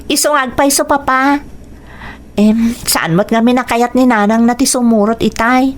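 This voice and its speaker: A woman's voice: fairly high pitch, steady tone, normal speed, a little hiss or hum, lightly acted. A woman playing a friendly, well-off young female graduate in an Ilocano radio drama, with an Ilocano accent. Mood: friendly, reassuring.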